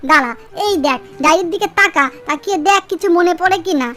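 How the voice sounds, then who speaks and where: A woman's voice: extremely high pitch, a little fast, bright, forceful tone. A woman voicing a small, chirpy, bossy male mouse in a comic cartoon dub, chatting insistently with a West Bengali accent. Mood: insistent scolding. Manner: chatty, repeats words insistently.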